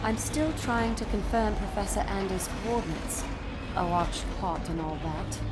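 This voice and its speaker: An actress playing a cool female ship computer in a video game, crisp, efficient and dryly witty. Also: medium pitch, measured pace, very noisy, natural voice. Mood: matter-of-fact. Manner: crisp, wry, matter-of-fact. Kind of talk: video game cinematic acting. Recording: loud music behind.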